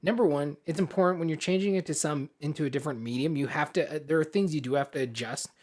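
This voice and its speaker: Male co-host, somewhat higher pitch, informal podcast conversation, chatty and agreeable. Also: fast, a little mellow. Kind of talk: casual podcast chat.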